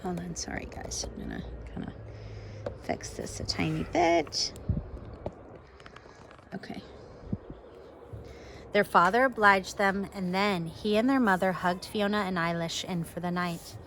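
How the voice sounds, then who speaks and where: A woman teacher reads a children's book to her pupils in an animated, varied voice. She slips into casual asides and puts questions to her listeners. There is faint noise on the recording. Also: fairly low pitch, measured pace, creaky, long pauses. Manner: expressive, questioning the young listeners. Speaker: elementary school teacher. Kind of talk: storybook read-aloud for pupils with casual asides.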